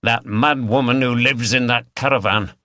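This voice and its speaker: Man voicing a blunt, bright-toned pub regular. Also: medium pitch, steady tone, normal speed, dry recording, studio quality, lightly acted. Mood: blunt banter. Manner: blunt, bantering. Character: gruff grumbling working man, pub regular with northern English dialect.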